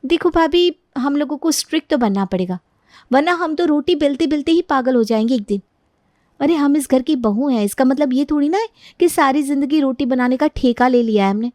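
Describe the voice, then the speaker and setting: A woman voicing a feisty, argumentative young daughter-in-law in an animated family story, lecturing in an exasperated manner. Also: high-pitched, brisk, dry recording.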